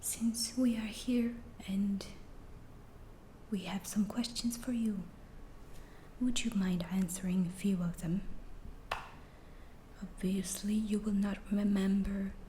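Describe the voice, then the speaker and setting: A woman plays a gentle alien abductor soothing a human captive in an ASMR roleplay. The voice is a hushed, breathy whisper, mellow and very unhurried, with halting phrases and a faint hiss behind it. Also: medium pitch, close to an everyday voice. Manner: slow, halting, reassuring.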